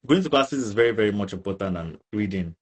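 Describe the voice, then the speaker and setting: Low-pitched young Nigerian man chatting. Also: animated, fast talker, slightly rough. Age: young adult. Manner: informal, frequent tag questions like "right?" and "you get". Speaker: international student interviewee. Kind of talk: casual conversational interview answers.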